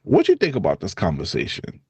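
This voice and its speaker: A man with a Haitian accent co-hosting a podcast in casual chat, animated and quick to laugh, his voice jumping high when he gets excited. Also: slightly rough. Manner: lively, jumps high in pitch when excited, laughs often. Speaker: podcast co-host.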